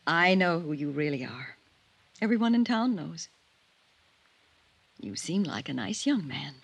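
An actress playing a frail, gentle little woman in a 1950s radio drama, speaking in a wistful, genteel manner. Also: deep voice, very animated, measured pace, vocal fry, breathy edge, full character voice. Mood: gently resigned.

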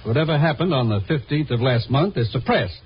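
Man acting a lively-pitched psychiatrist, old radio. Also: natural voice. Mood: clinical. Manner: clinical, deliberate phrasing. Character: concerned, measured male psychiatrist, loyal friend. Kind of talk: 1950s radio drama acting. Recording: noisy, muffled vintage radio recording.

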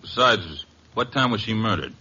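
Low, surly tough boxer. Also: male voice, expressive, normal speed, bright, forceful tone, heavily acted. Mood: surly, defiant. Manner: gruff, terse, defiant. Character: surly, tough male prize fighter. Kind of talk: 1940s-50s radio detective acting. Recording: dull vintage radio drama recording.

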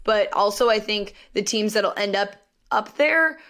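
A woman with a raspy voice co-hosting a podcast, chatting casually and tossing in quick asides. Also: mid-range voice, fairly bright tone. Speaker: podcast co-host. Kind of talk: casual sports chat.

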